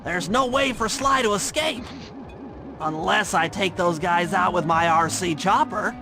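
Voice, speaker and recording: An actor playing a nerdy, careful young turtle gadget genius in a video game, nasal and precise. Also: male voice, high voice, measured pace, creaky. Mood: intent. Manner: precise, earnest, bookish briefing. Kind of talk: animated video game cutscene acting. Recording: loud music under the voice. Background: game music.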